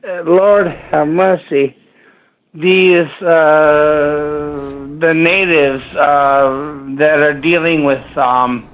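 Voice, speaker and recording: A man's voice: on the high side, fairly bright tone. A man from Minneapolis whose first language is Latvian, calling a radio show from his front porch and rambling hesitantly with many fillers. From Minneapolis, United States. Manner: hesitant, halting, full of fillers. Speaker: caller. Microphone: phone. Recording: dull phone line.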